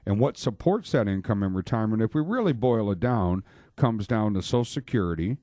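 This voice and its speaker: A man's voice: on the low side, animated, fairly quick, dark tone, dry recording, studio quality. A man hosting a radio talk show about money, chatty and joking, with lots of fillers. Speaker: radio show host, financial planner. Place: radio studio. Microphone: studio microphone.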